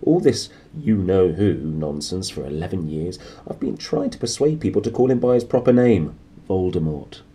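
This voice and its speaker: A man voicing a calm, courteous old male wizard in a children's storybook, mild and gently amused. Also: low-pitched. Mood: reasonable. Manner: mild, unhurried and politely amused.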